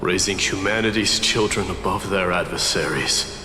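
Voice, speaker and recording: An actor playing a stately, solemn herald in a game, declaiming praise with reverence. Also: male voice, deep voice, normal speed, background noise, natural voice. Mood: solemn, reverent. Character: stately male herald hailing a great king. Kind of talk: scripted game drama. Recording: echoey hall reverb, music behind.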